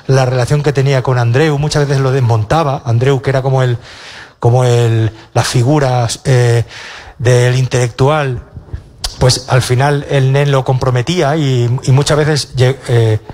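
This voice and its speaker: Halting comedian on a panel. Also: male voice, mid-range voice, darkish tone. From Castilla-La Mancha, Spain. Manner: halting, many restarts and fillers, wry self-mocking. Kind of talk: panel discussion. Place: stage of a cultural centre auditorium. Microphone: handheld mic.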